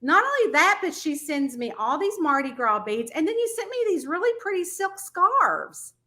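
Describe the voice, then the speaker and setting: Bright-voiced bubbly Southern woman host. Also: very high-pitched, big pitch swings, normal speed. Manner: bubbly, gushing, laughs often. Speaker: podcast host. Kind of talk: casual interview chat.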